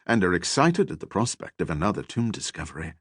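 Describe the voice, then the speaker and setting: A man's voice: deep voice, very animated, fast talker, husky, dry recording, pitched below the performer's own voice, heavily acted. Man acting female archaeologist, proud, keen, assertive, formal phrasing, audiobook character, dry close sound. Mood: assured.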